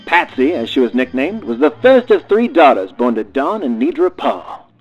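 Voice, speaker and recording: A man's voice: mid-range voice, very animated, moderate pace, highs cut off, faint music behind, natural voice. A man playing a theatrical old-time radio announcer, presenting and narrating a scripted comedy play. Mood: storytelling.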